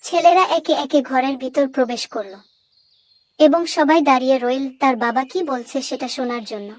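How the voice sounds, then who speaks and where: A woman narrating a moral story for children in a clear, didactic storytelling manner. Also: high-pitched, moderate pace, a little mellow, no room sound.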